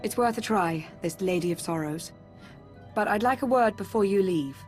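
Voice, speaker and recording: A woman voicing a calm, commanding advisor in a video game, with a measured, grave and instructive delivery. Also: medium pitch, lightly acted. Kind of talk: video game cutscene acting. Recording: faint music behind the voice.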